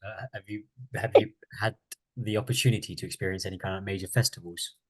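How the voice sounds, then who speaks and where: A man hosts a podcast interview and asks gentle, reflective questions in a measured way. His voice sits somewhat low. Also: steady tone, husky, rough recording. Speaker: interview host, coach.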